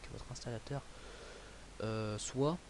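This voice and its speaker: A man's voice: mid-range voice, measured pace. A young man from France talking through a computer tutorial in a casual, rambling way, full of fillers and restarts. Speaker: tutorial maker. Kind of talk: casual screen-recorded tutorial. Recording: hissy home recording.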